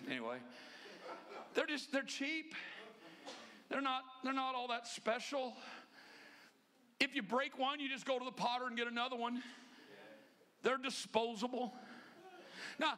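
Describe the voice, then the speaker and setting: A man in his fifties preaching into a handheld mic in a church sanctuary. His voice sits very high for a man and rings bright and forceful. He swings between shouted emphasis and quick conversational asides. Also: animated. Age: 50s. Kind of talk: energetic Pentecostal sermon. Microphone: handheld microphone.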